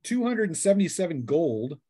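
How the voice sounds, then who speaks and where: A man's voice: fairly high pitch, big pitch swings, brisk, dark tone, breathy edge, no room sound. A man acting as game master, casually describing scenes and rulings in a tabletop fantasy game.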